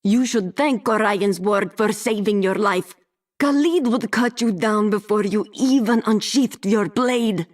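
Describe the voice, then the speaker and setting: A stern, sharp-tongued adventurer woman, voiced by an actress in a fantasy game. Her medium-pitched voice is firm and formal, protective and unbending. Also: measured pace, lightly acted. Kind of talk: video game voice acting.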